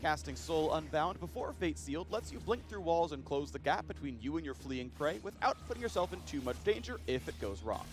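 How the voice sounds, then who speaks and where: A man's voice: on the high side, very animated, fairly quick, hardly any pauses. A man reading punchy, scripted gameplay guide narration with a playful, promotional delivery. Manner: playful, pun-laden delivery. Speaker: game character guide narrator. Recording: faint music under narration.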